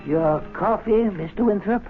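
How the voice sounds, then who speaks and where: A man's voice: high voice, normal speed, over loud music, lightly acted. An actor playing a spiteful, gleeful older male club servant in a radio drama, grumbling and scornful, moving between muttering and exclaiming. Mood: smoothly deferential. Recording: tinny, band-limited, noisy old radio broadcast.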